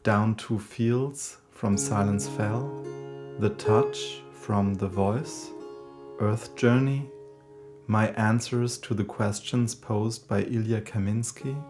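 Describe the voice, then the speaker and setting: A man with a fairly deep voice reads scripted credits at a slow pace. He goes through them like a list, pausing between items. Plucked string music plays clearly behind him. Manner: measured, list-like delivery with pauses. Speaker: announcer reading credits.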